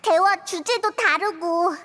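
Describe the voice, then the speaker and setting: Tiny fairy companion, childlike, very high pitch, bright tone, curious, outspoken, anime game style. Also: female voice, slow pace, exaggerated voice. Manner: exclamatory, blunt questioning.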